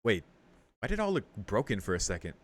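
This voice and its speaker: Low-voiced man doing game commentary. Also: very animated, quick pace, slight background noise. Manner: informal, thinking aloud. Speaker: let's play streamer. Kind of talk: casual game commentary and reading aloud. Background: game music.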